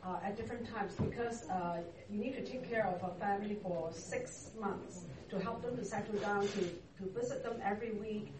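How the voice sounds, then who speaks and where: A woman's voice: medium pitch, a crowd in the background. Distant, echoey woman. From Hong Kong. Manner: unhurried, halting, searching for words. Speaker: interview guest, church ministry worker. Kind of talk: casual interview. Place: church hall. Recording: echoey room, distant mic, noisy.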